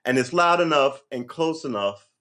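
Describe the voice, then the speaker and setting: A man in his fifties in a rambling, reflective monologue, full of restarts and "you know", and his pitch jumps when he gets worked up. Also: moderate pace. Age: 50s. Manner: lively pitch swings when animated. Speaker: vlogger. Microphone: livestream setup.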